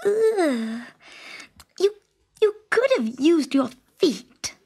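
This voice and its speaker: Storybook princess: young, spoiled, squeamish, snappish, shrill high register, big melodic swings. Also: female voice, sing-song, drawn-out words, creaky, darkish tone, heavily acted. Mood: disgusted, snappish. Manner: snappish, exclaiming. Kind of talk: storybook character acting.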